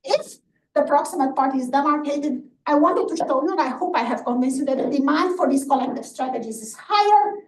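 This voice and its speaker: A woman's voice: sits high, expressive, brisk. A woman professor giving an academic lecture and answering questions, often interrupting herself and checking in with "right". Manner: self-interrupting, emphatic explaining with frequent checking "right". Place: lecture room. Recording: echoey room, distant mic, background noise.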